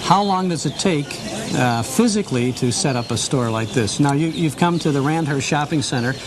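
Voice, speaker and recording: A man's voice: fairly high pitch, animated, moderate pace, background noise. A man hosting a television show, speaking conversationally as an interviewer on location in a store. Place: inside a shopping center store.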